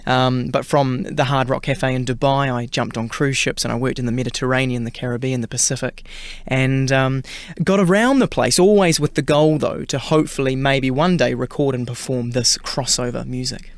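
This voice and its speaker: A man's voice: medium pitch, fairly even intonation, normal speed, no room sound. A man from New Zealand with a New Zealand accent, chatting as a singer guest in a radio interview, with many fillers and restarts.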